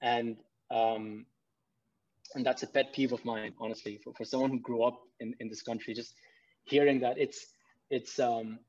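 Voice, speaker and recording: A man speaks earnestly in an easy conversational flow, stumbling and repeating words as he works out each point. His voice sits at an ordinary middle pitch. The recording sounds slightly dull and muffled. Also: flat delivery, normal speed, darkish tone. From Pakistan. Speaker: podcast guest. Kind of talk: casual conversation.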